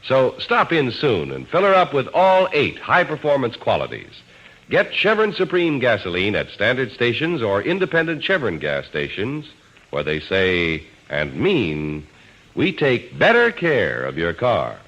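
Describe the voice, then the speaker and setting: A man announcing in 1940s radio style, reading a script with measured emphasis. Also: medium pitch, huge pitch swings, fairly bright tone. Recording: dull old radio recording.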